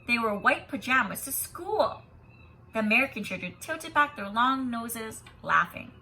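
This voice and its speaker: A woman teacher reading a children's story expressively and pausing to explain it in an instructive, emphatic way. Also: fairly high pitch, animated, sharp tone. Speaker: kindergarten teacher.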